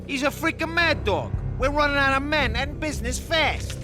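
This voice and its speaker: A man's voice: very high-pitched, animated, normal speed, music in the background. An actor playing a hot-tempered, panicky male mobster with a whiny, blustering manner. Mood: anxious.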